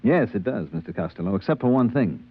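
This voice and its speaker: Plain-spoken spaceship purser, very lively pitch. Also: male voice, quick pace, husky, no echo. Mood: wary, firm. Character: plain-spoken male spaceship purser, wary, decent, reflective. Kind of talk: 1950s radio drama acting and narration. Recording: narrow-band old radio with music.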